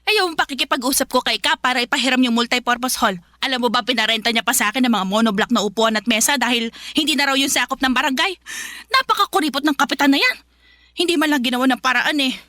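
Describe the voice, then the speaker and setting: A woman's voice: high voice, quick pace, sharp tone, no echo, clean studio sound. A woman playing a hot-tempered, money-minded mother in a radio drama, scolding in an exasperated, emphatic way. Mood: angry, scolding.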